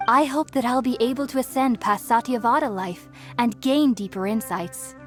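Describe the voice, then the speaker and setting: A woman playing an earnest, gentle young researcher in a video game, explaining things in a thoughtful, articulate way. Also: fairly high pitch, moderate pace, close to an everyday voice. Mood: earnest, reflective. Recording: music behind the voice.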